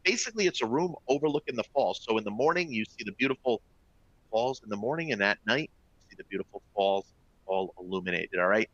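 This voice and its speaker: A man's voice: fairly low pitch, animated, fast, fairly bright tone, muffled recording. A middle-aged man with a Boston accent presents a live webinar to travel agents, upbeat and chatty, often checking in with "all right?" and "folks". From Boston area, US.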